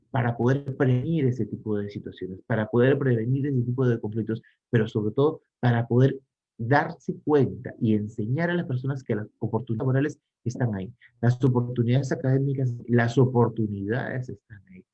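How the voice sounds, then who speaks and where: Brief: Peruvian male psychologist, mid-pitched, didactic lecturer asking rhetorical questions, slightly muffled audio. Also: mellow, slightly rough. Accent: Peruvian Spanish. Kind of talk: online conference talk.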